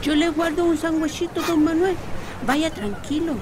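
Actress as eager-to-serve Chilean woman, very high voice, deferential, radio drama, noisy outdoor ambience. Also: moderate pace. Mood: obliging. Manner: deferential, reassuring. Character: obliging, eager-to-serve working woman Laura, placid and respectful. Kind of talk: Spanish-language radio drama acting.

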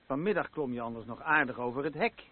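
An actor playing a dry, gossiping, sceptical acquaintance in a radio play. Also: male voice, medium pitch, fast talker, close to an everyday voice. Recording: muffled radio play with faint noise.

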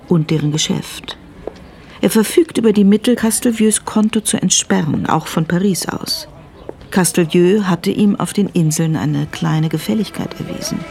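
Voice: medium pitch, vocal fry, darkish tone, a little hiss or hum. A narrator reading a scripted radio thriller in the present tense.

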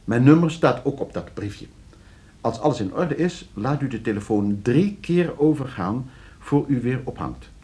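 A man's voice: mid-range voice, lively intonation, measured pace, mellow, lightly acted. An actor playing a polite, scheming professor in a radio play, precise in his speech but prone to fluster. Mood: coolly instructing. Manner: polite, precise when instructing, stammering when questioned. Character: scheming, flustered professor plotting against his uncle for inheritance.

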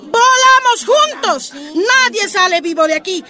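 A fearless, fierce housewife in a radio drama. Her voice is extremely high, and she gives blunt orders with menace. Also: expressive, a little slow, heavy background noise. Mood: menacing, commanding. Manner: commanding, blunt. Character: fierce, fearless housewife threatening captors' hostages.

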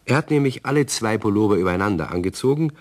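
A man's voice: fairly low pitch, lively intonation, quick pace. A man playing a wry, fatherly narrator of a children's radio play. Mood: amused. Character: wry, fatherly male storyteller. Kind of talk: scripted children's story narration.

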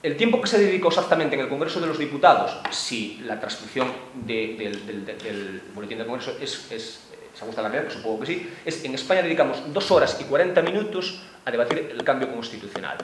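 A man speaking on a panel in a lecture room, building his argument in measured steps and now and then stammering on a word. His voice sits in the middle range. The room is echoey, with a faint hum of ambience behind him. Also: medium pitch, animated. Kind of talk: prepared talk at a round table. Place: cultural centre lecture room.

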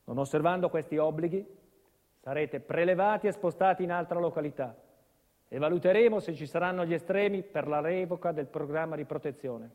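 A man's voice: on the high side, fairly quick, a little mellow, natural voice. An actor playing a cold, officious male witness protection officer in a stage drama, formal and detached. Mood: officious, stern. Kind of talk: stage monologue drama, official reading rules. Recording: long hall-like reverb.

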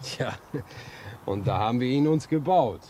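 An actor in a German radio drama playing a folksy, helpful railway line inspector who likes to chat and caution. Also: male voice, mid-range voice, animated, unhurried, mellow, close to an everyday voice. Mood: chatty, wry. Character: chatty, obliging railway line inspector guiding a stranger. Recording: noisy old radio play recording.

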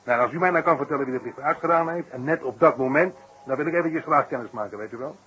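An actor as a folksy circus electrician, faint hiss. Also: male voice, medium pitch, fast, fairly bright tone, dull audio, lightly acted. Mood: grumbling. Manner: colloquial, folksy, wordy. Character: chatty, down-to-earth circus electrician, jokingly grumbling. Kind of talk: 1960s Dutch radio drama acting. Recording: faintly noisy 1960s radio recording.

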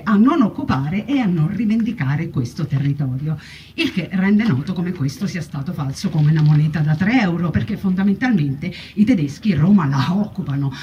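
Female monologue performer, soft dark tone, theatrical and ironic, rhetorical delivery; dull recording with faint background noise. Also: mid-range voice. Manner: emphatic, rhetorical repetition with wry irony. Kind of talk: theatrical narrative monologue on wartime history. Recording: muffled, distant mic with background noise.

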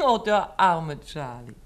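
A woman's voice: low-pitched, expressive, takes it slowly. A woman playing a detective's poised, lightly teasing wife in a 1950s German radio drama. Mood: curious, teasing. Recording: faintly noisy old radio recording.

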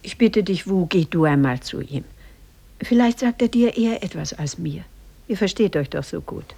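An actress playing a worried, pleading mother of a sick son, anxious and hushed, in a 1950s German radio drama. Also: lowish voice, animated, normal speed, creaky.

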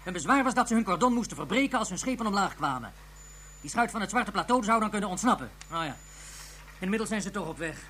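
A man's voice: sits high, expressive, fast talker, sharp tone. An actor playing a formal, sarcastic male security official in a radio drama, clipped in manner. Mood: dry, annoyed. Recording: slight background noise, ambience.

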